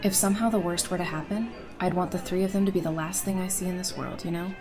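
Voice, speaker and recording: A woman playing a warm, blunt and teasing young mother in a conversational audio drama. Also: lowish voice, steady tone, fast, over music, lightly acted. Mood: fond teasing.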